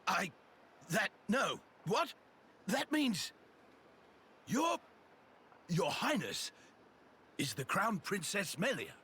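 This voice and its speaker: A man's voice: high-pitched, sing-song, slow pace, pauses often, pushed and bright, a little hiss or hum, exaggerated voice. A man playing an earnest, loyal warrior in a video game, formal and theatrical in his speech. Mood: shocked, reverent. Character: excitable, loyal male warrior, reverent of royalty. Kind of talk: video game cutscene acting.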